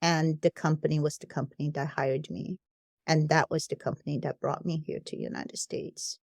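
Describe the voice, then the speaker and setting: A woman's voice: sits low, fairly even intonation, moderate pace, creaky, no echo, clean studio sound. A woman with a non-native English accent, a company founder speaking frankly and laughing easily as a podcast guest. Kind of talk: casual podcast conversation.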